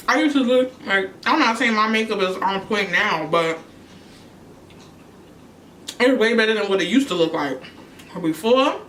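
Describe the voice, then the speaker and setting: A woman's voice: on the high side, a bit bright, a little hiss or hum. A young woman from New York chatting casually on her eating show, answering questions between bites. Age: young adult. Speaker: YouTuber. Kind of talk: casual mukbang chat. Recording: echoey room, mic at a distance.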